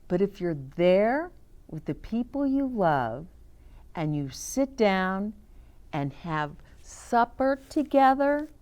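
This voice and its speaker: Older lady doing storytime for kids, gentle and explains stuff, slightly low voice, really takes her time. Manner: gentle, unhurried, explains to young listeners. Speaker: children's storytime host reading aloud. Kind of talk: read-aloud storytelling with chatty asides.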